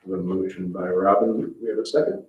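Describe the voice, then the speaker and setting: A man with a very low voice chairs a town board meeting in an informal way. He sounds distant from the microphone in an echoey room, and the sound is slightly muffled. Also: steady tone, brisk, a little hiss or hum. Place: indoor public meeting room.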